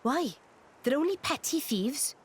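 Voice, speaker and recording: A woman playing a blunt, short-tempered young noble adventurer with a husky voice in a video game cutscene. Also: sits high, big pitch swings, measured pace. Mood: impatient.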